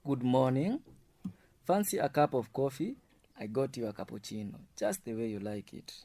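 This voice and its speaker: Mellow, flirtatious male co-worker, acted by a man. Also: mid-range voice, big pitch swings, normal speed, no room sound. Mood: friendly, inviting. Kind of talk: radio drama acting.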